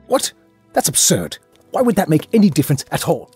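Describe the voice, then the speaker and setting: Bumbling, animated dragonborn hero. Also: male voice, a little high, brisk, over loud music. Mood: puzzled, flustered. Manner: chatty, awkward, theatrically courteous. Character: bumbling, earnest young male dragonborn adventurer leader. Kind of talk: comedic fantasy audio drama acting.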